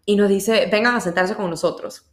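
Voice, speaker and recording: A woman's voice: medium pitch, fairly even intonation, normal speed. A woman with an Ecuadorian accent hosting a podcast, telling personal stories casually and emphatically, repeating words for stress. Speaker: podcast host. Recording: echoey, roomy sound.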